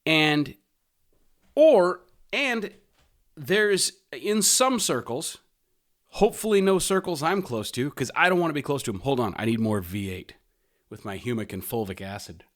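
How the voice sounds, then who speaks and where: A man's voice: a little high, lively intonation, a bit bright. A man hosting a podcast in a casual, opinionated monologue, stressing words emphatically and rambling into wry asides. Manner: emphatic, drawn-out stresses, mild swearing. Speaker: podcast host.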